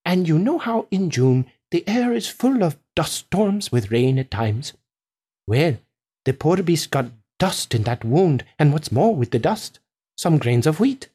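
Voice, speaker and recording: A sly farmer, voiced by a woman reader, spins a tall storybook tale. The pitch swoops about animatedly, and the delivery is emphatic, rustic and slightly husky. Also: very animated, warm tone, exaggerated voice. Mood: earnest tall-telling. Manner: unhurried, emphatic storytelling with rustic turns of phrase.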